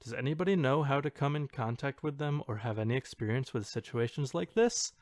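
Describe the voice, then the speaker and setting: A man with a mid-pitched voice co-hosts a casual podcast. He moves between chatting and reading posts aloud, amused and a little hesitant. The sound is dry, with very little room in it. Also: a little fast, dry recording. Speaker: podcast co-host.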